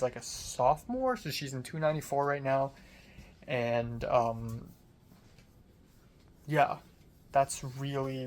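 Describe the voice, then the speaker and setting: A young adult man talking in a casual, rambling personal diary style, informal and conversational, swearing mildly. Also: medium pitch. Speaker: film student vlogger.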